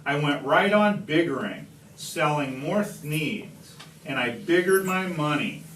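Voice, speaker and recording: A man's voice: fairly high pitch, animated, takes it slowly, fairly bright tone. Man reading a rhyming storybook, distant and echoey. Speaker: teacher reading a picture book aloud to children. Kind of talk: expressive storybook read-aloud in rhyme. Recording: distant mic, echoey room, background noise.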